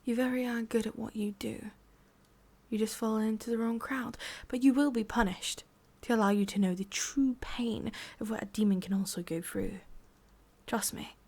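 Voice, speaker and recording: A woman with an English accent playing a sadistic, condescending female demon boss in an ASMR roleplay, speaking deliberately and slipping between soft voice and whisper. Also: on the high side, fairly even intonation. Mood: coldly threatening.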